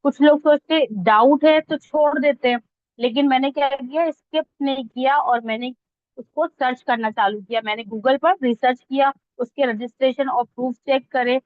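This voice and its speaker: Female online tutor, higher-pitched voice, informal and conversational step-by-step teaching, slightly muffled lo-fi recording. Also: dry recording. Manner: conversational, checks that listeners follow. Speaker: instructor teaching reel editing online. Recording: dull lo-fi close dry audio.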